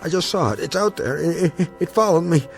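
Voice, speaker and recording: An actor playing a paranoid, obsessed gambler husband in a radio drama, intense and given to stammering repetitions. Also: male voice, a little high, animated, a little fast, gravelly, soft background music. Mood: panicked. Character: obsessed, paranoid gambler husband, grimly stubborn then panicked.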